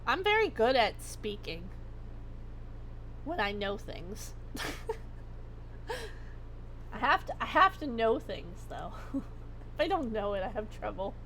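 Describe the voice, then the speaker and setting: A woman livestreamer chatting casually, her voice going hoarse as she rambles and swears freely. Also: fairly high pitch, very animated, slow pace, long pauses, fairly bright tone, a little hiss or hum, rough recording. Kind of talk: casual chat.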